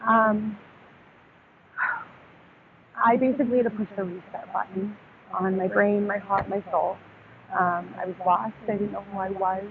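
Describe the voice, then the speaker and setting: A woman's voice: medium pitch, fairly even intonation, a little slow, gravelly, pauses often. A woman in her twenties from Texas, talking openly and reflectively in a street interview, with many hesitations. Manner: open, reflective, many ums. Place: outdoors near a park. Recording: phone-like audio with outdoor noise.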